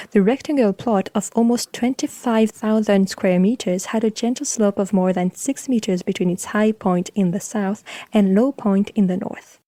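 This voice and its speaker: A woman podcast host reading scripted narration about architecture in a measured, formal way. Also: mid-range voice, warm tone, no room sound.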